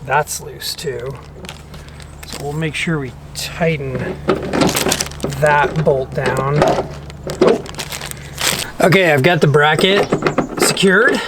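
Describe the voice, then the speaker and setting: Casting: DIY how-to vlogger, slow and informal delivery, talks to self while working, clearly noisy on-site sound with clicks. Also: mid-range voice. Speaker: DIY boating vlog host. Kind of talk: casual hands-on how-to narration.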